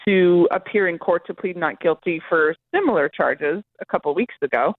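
A woman speaking as a political reporter in a live interview, explaining in a halting, self-correcting way. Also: fairly low pitch, no echo. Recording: narrow, lo-fi phone line.

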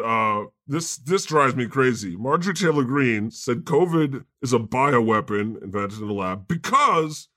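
A man's voice: medium pitch, bright, forceful tone, no room sound, clean studio sound. A man playing a crude, brash God. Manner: profane, brash, laughing. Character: God, boastful crude male deity. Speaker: podcast co-host. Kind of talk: improvised comedy podcast banter.

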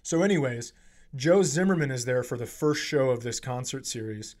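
A man hosting a comedy podcast, telling casual, profane stories in an animated way and acting out the quotes. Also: mid-range voice, normal speed. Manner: animated, profane, acts out quotes in his anecdotes.

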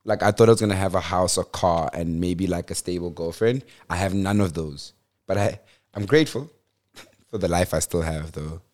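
A young man from South Africa chats on a podcast in a low, relaxed voice. He talks loosely, with plenty of slang and frequent laughter. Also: moderate pace. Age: 20s. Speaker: podcast co-host. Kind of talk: casual chat.

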